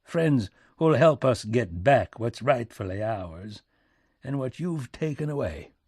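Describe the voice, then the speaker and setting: A man's voice: mid-range voice, lively intonation, slow, darkish tone, breathy edge, no echo, exaggerated voice. Audiobook role: weary, sardonic American president, folksy back-country twang, emphatic, very dry close sound male narrator acting it out. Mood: defiant, ironic.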